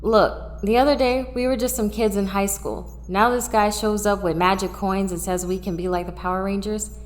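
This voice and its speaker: A woman's voice: mid-range voice, steady tone, moderate pace, a little hiss or hum, close to an everyday voice. An actress playing a candid, bewildered teenage girl superhero recruit in an audio drama, talking casually. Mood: puzzled. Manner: casual, frank. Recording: reverberant hall sound.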